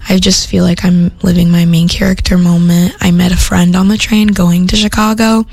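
Young woman radio host with heavy vocal fry. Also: fairly low pitch, little pitch movement, normal speed, a little mellow, no room sound. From Minnesota. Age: young adult. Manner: rambling, upbeat, heavy with "like" and "um". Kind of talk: casual radio chat between songs. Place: radio studio.

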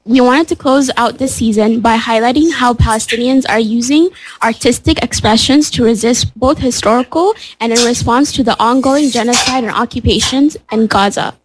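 A woman's voice: on the high side, moderate pace, slightly rough, poor audio. A woman hosting a live podcast panel, friendly and a little hesitant. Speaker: podcast host. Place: event room with a live audience.

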